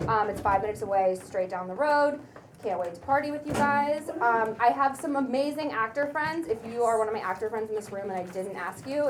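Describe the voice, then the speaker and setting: A woman hosting an event, introducing a cast in an informal, playful, chatty speech. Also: fairly high pitch. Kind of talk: informal, joking welcome speech. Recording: echoey room, distant mic, clear noise.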